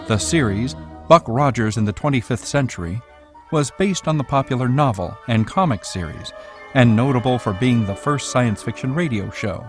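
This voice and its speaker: A man narrates a scripted documentary as its presenter. His voice sits at a middle pitch, with music clearly playing behind it.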